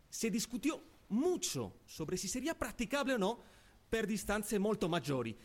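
A man playing an Italian inventor in a radio drama, giving a formal, confident speech in Italian-accented Spanish mixed with Italian words. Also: sits high.

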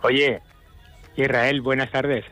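A man's voice: mid-range voice, level tone, takes it slowly, pushed and bright. Jokey male music journalist on radio. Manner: joking, chatty, trips over words and corrects himself. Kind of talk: radio interview chat.

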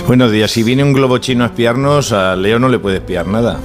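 A man's voice: medium pitch, fairly quick. A man, a comedian guest on a radio show, making dry, mock-serious jokes. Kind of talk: comic radio banter. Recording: radio broadcast with music behind.

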